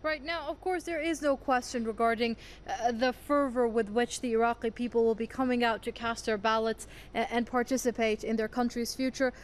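A woman's voice: on the high side, fairly even intonation, a little fast, hardly any pauses, a little hiss or hum. A woman news anchor asking formal questions of a correspondent. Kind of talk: studio news interview.

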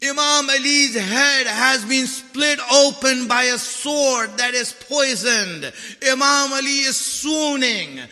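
A man's voice: very high voice, slow, bright, forceful tone. A man delivering an emotional mourning sermon as dramatic storytelling, plaintive and impassioned, repeating phrases for emphasis. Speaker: religious lecturer, preacher. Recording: long reverberant hall echo.

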